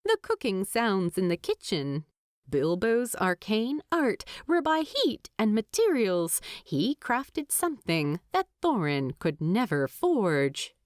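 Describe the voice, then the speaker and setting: A woman narrating a story from a script in an expressive storytelling style. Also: medium pitch, slow, dry recording, clean studio sound.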